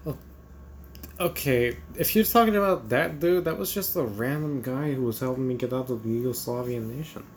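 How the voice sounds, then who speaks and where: A woman's voice: very deep voice, normal speed, a little hiss or hum. A woman voicing a stubborn, dismissive young woman as the country Croatia in a fan comedy podcast. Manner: flatly denying, evasive, offhand.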